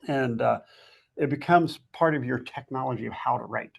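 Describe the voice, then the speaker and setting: Gravelly, husky man giving advice. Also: medium pitch, normal speed. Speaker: guest fiction author giving writing advice. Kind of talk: conversational advice talk.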